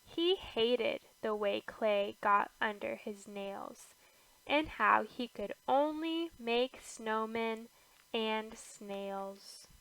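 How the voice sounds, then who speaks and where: A woman reading a rhyming children's picture book aloud, with deliberate phrasing and pauses between short phrases. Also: fairly high pitch, vocal fry, a bit bright, dry recording, rough recording. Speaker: storybook reader.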